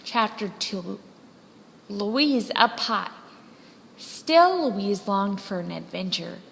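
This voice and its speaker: A woman reading a children's story aloud in a dramatic, deliberate storytelling manner. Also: medium pitch, expressive, vocal fry, a bit bright, slight background noise. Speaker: storybook reader. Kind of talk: scripted children's story narration, read aloud expressively. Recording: long hall-like reverb.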